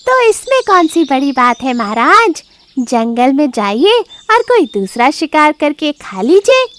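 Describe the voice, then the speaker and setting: A woman voicing a sly, flattering fox in an animated moral story, with a wheedling sing-song delivery. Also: high voice, a little slow, pitched above the performer's own voice, full character voice. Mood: eager, coaxing. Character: sly, flattering fox advisor to a lion king.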